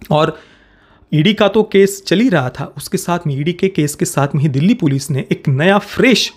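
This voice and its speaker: A man's voice: fairly high pitch, darkish tone. A man in his thirties with an Indian accent gives opinionated news commentary to camera, emphatically repeating and rephrasing his points. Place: small indoor home studio. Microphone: close desk microphone.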